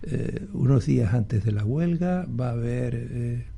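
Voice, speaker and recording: An older male doctor, interviewed on radio, speaking in a halting way with many restarts and fillers. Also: mid-range voice, lively intonation, warm tone. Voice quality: gravelly, husky. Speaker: doctor and health advocacy guest. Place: radio studio.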